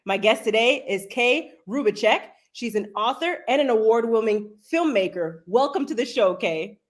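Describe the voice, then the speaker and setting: A woman's voice: on the high side, expressive, moderate pace. A woman hosting a podcast, introducing and welcoming her guest and asking questions. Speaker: podcast host. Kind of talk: podcast interview.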